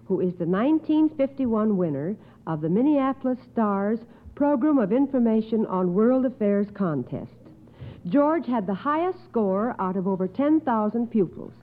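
A woman hosting a 1950s radio quiz show in an encouraging manner. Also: medium pitch. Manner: encouraging, affirming answers. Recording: tinny, band-limited 1950s radio recording.

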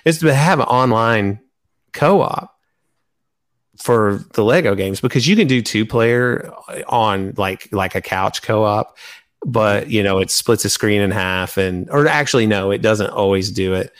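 A man's voice: lowish voice, unhurried. A man chatting casually about fan topics as a podcast host.

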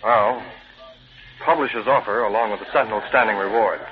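An actor playing a curt, commanding newspaper chief turned menacing vigilante in an old-time radio drama. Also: male voice, on the low side, a little fast, natural voice. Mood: brisk. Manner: curt, commanding, coolly threatening. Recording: tinny, noisy old radio recording.

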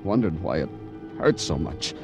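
An actor playing a world-weary, stoic American police detective who speaks and narrates in a terse, brooding, deadpan way in an old radio crime drama. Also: male voice, extremely low pitch, moderate pace. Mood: brooding narration. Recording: dull old radio recording, music behind.

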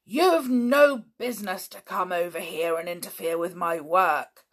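A woman's voice: on the low side, very animated, unhurried, exaggerated voice. A woman voicing an irritable, absent-minded middle-aged male scientist who is vague one moment and furious the next. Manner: peevish and vague, bursting into fury.

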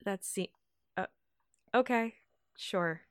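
A woman's voice: medium pitch, clear, resonant tone. A woman co-hosting a podcast, reacting to a story with sarcastic quips and questions. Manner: sarcastic, quick quips, laughs often. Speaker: podcast co-host. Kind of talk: casual chat, reacting.

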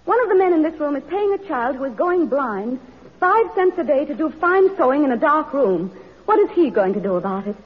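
A woman's voice: high voice, sing-song, brisk. A woman acting an earnest, determined social reformer in a vintage radio drama, speaking in formal, emphatic phrases. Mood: impassioned, challenging. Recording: dull old radio broadcast recording.

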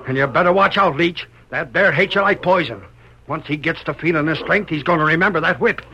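A man's voice: a little high, a little fast, pushed and bright. An actor playing a sly outlaw henchman who talks in folksy frontier slang in a radio Western. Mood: alarmed. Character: sly, gloating outlaw henchman of the Old West. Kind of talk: old-time radio Western acting. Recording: dull, hissy vintage radio recording.

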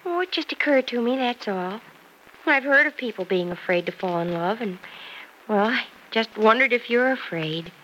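An actress playing a romantic young married confidante, gently probing and playful, in old-time radio soap style. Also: female voice, fairly high pitch, animated, normal speed. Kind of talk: 1940s-50s radio soap acting. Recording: faintly noisy old radio transcription.

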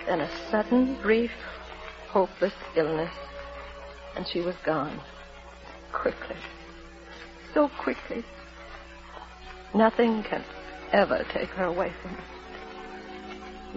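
A woman's voice: medium pitch, natural voice. A woman acting a devoted young wife and mother in a vintage radio drama, theatrical and heartfelt. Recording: muffled old radio recording.

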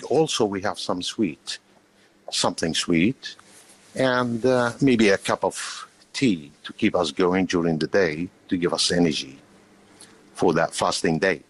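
Middle-aged man from Palestine, podcast guest; deep, slow, hesitant delivery. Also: slightly rough, poor audio. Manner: slow, hesitant, many fillers, laughs often. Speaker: guest, shop owner. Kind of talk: podcast interview.

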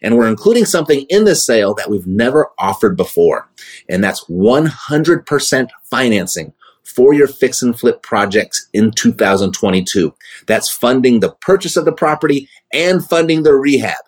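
A man's voice: mid-range voice, big pitch swings, moderate pace, breathy edge. A man hosting a real estate podcast, promoting offers and interviewing a guest in an upbeat, friendly way. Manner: upbeat, friendly salesman delivery. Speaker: podcast host.

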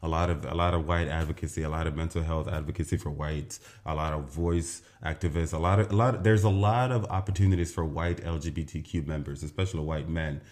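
Young guy from nigeria doing his podcast, really low voice, earnest and emphatic, keeps restarting. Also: fairly even intonation, fast talker, hardly any pauses, a little mellow. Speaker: podcast host. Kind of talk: casual podcast monologue.

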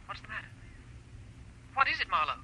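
An actress playing an anxious, spiteful detective's client, urgent and bitter, on an old radio drama recording. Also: female voice, mid-range voice, sing-song, fairly quick, bright, forceful tone, slight background noise, poor audio.

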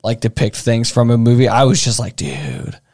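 A man's voice: medium pitch, very animated, normal speed, a little mellow, no echo, clean studio sound. A man co-hosting a podcast, chatting casually and informally about films. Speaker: podcast co-host. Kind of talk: casual film discussion chat.

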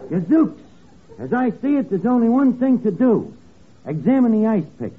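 An actor playing an eager, dim detective's sidekick in a 1950s radio comedy spoof, narrating with deadpan mock drama. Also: male voice, high-pitched, moderate pace. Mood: admiring, eager. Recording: tinny, band-limited old radio recording with hiss.

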